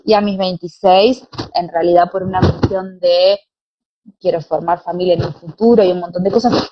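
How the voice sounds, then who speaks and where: A young woman with an Argentine Spanish accent, answering conversationally as an interview guest. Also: mid-range voice. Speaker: interview guest, pilates teacher and former dancer. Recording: dull, lo-fi online call audio.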